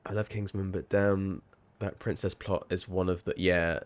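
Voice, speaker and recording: A man's voice: low voice, little pitch movement, normal speed. A man chatting casually while streaming a game, rambling and sweary, with sudden startled outbursts. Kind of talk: casual livestream chat.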